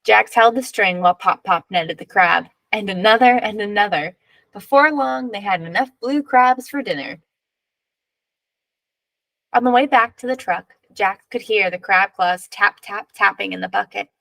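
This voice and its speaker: Female picture book narrator: expressive, measured read-aloud, some vocal fry, dry recording. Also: medium pitch, no echo, poor audio.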